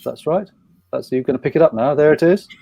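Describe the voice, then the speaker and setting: A man's voice: fairly high pitch, fast talker, creaky, lo-fi sound, a little hiss or hum. A man hosting a panel conversation over a video call, hesitant and encouraging, with many fillers. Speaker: event chair and interviewer.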